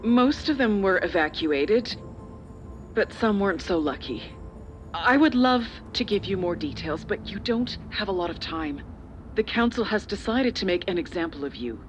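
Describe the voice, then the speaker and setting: A woman's voice: mid-range voice, lively intonation, soft background music, lightly acted. A middle-aged woman playing a calculating, slightly condescending corporate official and parent in a dramatic video game. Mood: evasive, coaxing. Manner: measured, persuasive, slightly condescending. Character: older female corporate official and parent, calculating, persuasive, patronizing.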